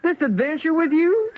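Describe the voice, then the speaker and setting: A wealthy older widow with a high, very animated voice. She is theatrical, teasing and shrewd, with folksy exclamations. It comes over as a tinny, slightly noisy radio drama recording. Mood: mocking, amused. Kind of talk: 1970s radio drama acting.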